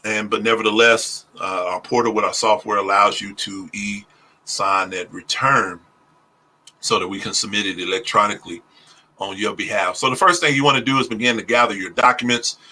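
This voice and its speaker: A man who is a pastor and a tax preparer, giving a casual livestream talk on taxes. He speaks slowly and deliberately, with many "uh" fillers. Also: on the low side, fairly even intonation, sharp tone. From Oklahoma, US. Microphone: phone.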